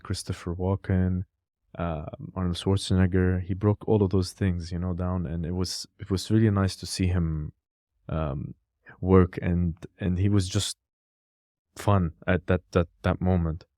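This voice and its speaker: Male podcast co-host, very low and warm-toned, casual and loose, fillers everywhere. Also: a little slow, no echo, clean studio sound. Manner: relaxed, peppered with "like" and "you know".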